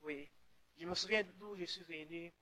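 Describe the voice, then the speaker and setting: Young man, slightly high voice. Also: normal speed, bright, forceful tone. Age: young adult. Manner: earnest, repeats words while searching. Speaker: guest.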